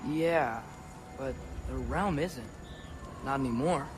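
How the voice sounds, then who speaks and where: A man's voice: medium pitch, takes it slowly, background noise, natural voice. An actor playing an earnest, curious teenage boy adventurer in a video game, youthful and plain-spoken. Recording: game music behind the voice.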